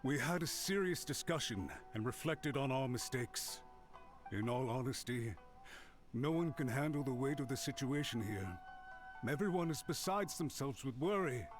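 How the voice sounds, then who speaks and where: A man's voice: mid-range voice, expressive, normal speed, music in the background, natural voice. A man playing an anxious, contrite official in an anime game, speaking formally and deferentially, as if pleading. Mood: remorseful. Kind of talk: scripted anime game voice acting.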